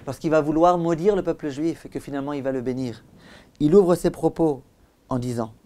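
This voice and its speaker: A male rabbi delivers a religious class with a storyteller's flair. His pitch sits in a medium range, and he breaks his teaching into deliberate, stressed phrases. He leans on repetition and rhetorical questions to drive each point home. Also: warm tone. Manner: rhetorical questions, emphatic repetition, acts out dialogue. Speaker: rabbi giving a Torah class.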